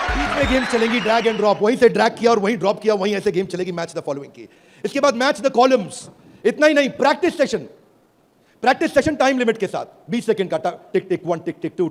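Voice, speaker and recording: A man giving a high-energy stage presentation at a product launch, theatrical and calling out to the audience for replies, swinging between booming and hushed delivery. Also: slight background noise. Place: stage before a live audience.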